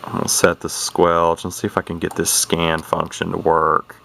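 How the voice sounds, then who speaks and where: A middle-aged man with a deep, low voice talks through a hands-on demonstration. His delivery is folksy and unscripted, wandering and hesitating as he goes. Noticeable radio static and noise sit behind his words. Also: completely flat delivery, moderate pace, creaky, bright, forceful tone. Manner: folksy, plainspoken, hesitant and rambling. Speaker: vintage radio hobbyist and demonstrator. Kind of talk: casual unscripted product demonstration. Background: CB radio noise from its speaker.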